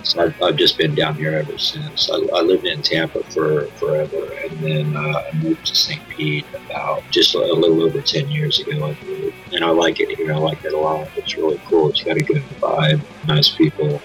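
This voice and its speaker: A man's voice: a bass voice, little pitch movement, moderate pace, hardly any pauses, fairly bright tone, faint music behind, poor audio. A man from Kansas being interviewed, talking in a laid-back, rambling way with restarts and casual swearing. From Kansas, USA. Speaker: band member being interviewed.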